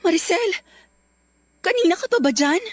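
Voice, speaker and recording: A woman's voice: very high voice, expressive, moderate pace, faint music behind. A woman with a Filipino accent playing a fed-up wife and caring mother in a radio drama. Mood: covering up.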